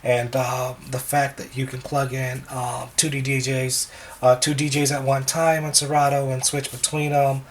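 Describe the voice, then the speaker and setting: A man with a slightly hoarse voice, talking casually as a wedding DJ reviewing equipment, relaxed and rambling with frequent ums and restarts. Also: mid-range voice, moderate pace, a little hiss or hum.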